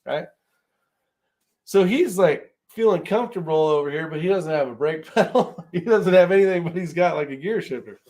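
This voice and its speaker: A man with a slightly high voice hosts an informal live chat. He rambles loosely and easily, in the casual style of someone chatting with viewers. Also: expressive, moderate pace, darkish tone. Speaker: host of a shooting live chat show.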